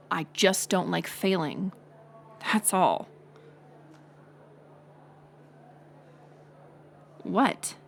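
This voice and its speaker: A young woman playing a mocking college bully in an audio roleplay, sarcastic and teasing, with a hidden softer side. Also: on the low side, heavily acted. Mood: flustered, defensive. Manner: sarcastic, teasing, scoffing with sighs and breathy asides. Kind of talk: audio roleplay acting, intimate one-sided dialogue.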